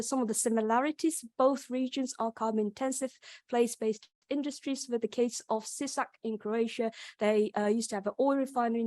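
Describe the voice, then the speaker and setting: A woman's voice: on the high side, darkish tone, dry recording, studio quality. A young woman with a Yorkshire accent giving an academic conference presentation, explaining step by step with frequent ums and restarts.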